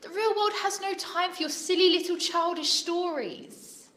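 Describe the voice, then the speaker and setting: A woman's voice: extremely high pitch, normal speed, a bit bright, a little hiss or hum. A woman playing an exasperated, worried mother who nags and scolds in an audio drama. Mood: exasperated, scolding. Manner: exasperated, nagging, dismissive of fairy tales. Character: worried, scolding, practical mother of a dreamy son. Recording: echoey room.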